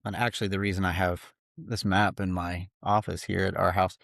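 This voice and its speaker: A man's voice: sits low, level tone, moderate pace, vocal fry, fairly bright tone, dry recording, studio quality. A man, a podcast guest talking earnestly in his home office, with many fillers. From grew up in Slovakia. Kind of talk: casual interview talk.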